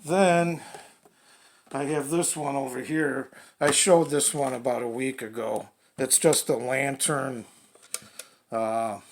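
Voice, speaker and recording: A man gives a casual product demonstration. His voice has a creaky, gravelly edge and sits at an average pitch. He talks slowly and haltingly, in plain folksy words, like a hobbyist working without a script. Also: a little hiss or hum. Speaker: radio hobbyist presenting product reviews.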